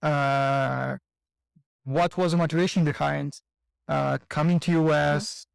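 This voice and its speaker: A man hosting a podcast interview, asking searching questions in a halting way. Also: fairly high pitch, fairly even intonation, creaky, clean tone, no echo. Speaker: podcast host. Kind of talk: casual interview chat.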